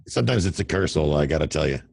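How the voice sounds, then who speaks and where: Deep gravelly-voiced man, candid storyteller. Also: animated, racing, darkish tone, no echo, studio quality. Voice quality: deep radio voice. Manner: candid, self-deprecating storyteller. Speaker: real estate investor guest. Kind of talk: casual interview over a call. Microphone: remote call audio.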